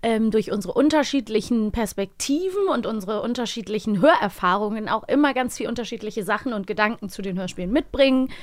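A woman's voice: fairly high pitch, expressive, brisk, hardly any pauses, no room sound, studio quality. A woman co-hosting a podcast in casual, chatty banter. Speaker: podcast co-host. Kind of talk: casual banter about audio dramas.

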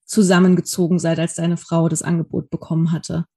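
A woman's voice: on the low side, little pitch movement, a little fast, dark tone, breathy edge. A woman hosting an interview, asking curious follow-up questions in a casual tone. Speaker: podcast host.

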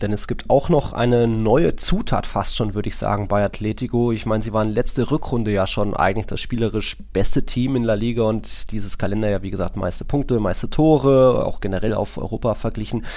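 A man's voice: fairly low pitch, moderate pace, few pauses, warm tone. A man talking football casually as a podcast host, in an informal, digressive, opinionated way.

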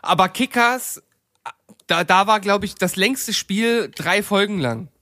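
A man with a noticeably high voice talks casually in a podcast round. He argues in halting bursts, often repeating words. The sound is dry, with very little room. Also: bright, forceful tone, no echo. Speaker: podcast speaker. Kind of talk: casual group chat.

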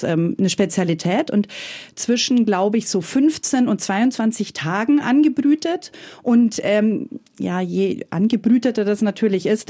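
A woman's voice: medium pitch, mellow. A woman from Franconia with a Franconian German accent, a guest chatting and telling stories hesitantly in an interview. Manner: chatty, hesitant, often laughing. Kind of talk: casual conversational storytelling.

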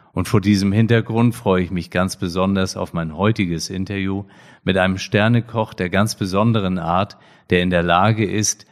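Deep-voiced man hosting a podcast. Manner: measured, formal delivery. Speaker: podcast host, hospital director. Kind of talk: scripted podcast intro, then interview.

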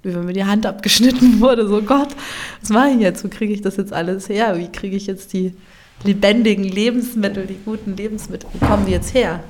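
A woman chef in a casual interview, speaking reflectively and often pausing to search for words. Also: mid-range voice, darkish tone, slight background noise. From Germany.

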